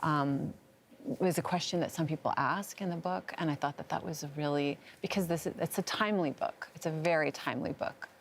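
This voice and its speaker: A woman's voice: low voice, a little fast, slight background noise. A woman on a debate panel, speaking earnestly and searching for words as she argues. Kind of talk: debate argument.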